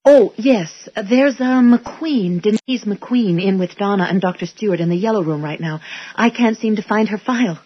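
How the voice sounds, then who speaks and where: Woman playing a husky, polite new assistant. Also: medium pitch, moderate pace, dry recording, lightly acted. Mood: curious. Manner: polite, tentative, inquisitive. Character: polite, curious new female dental assistant. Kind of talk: 1980s radio drama acting. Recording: dull old radio recording.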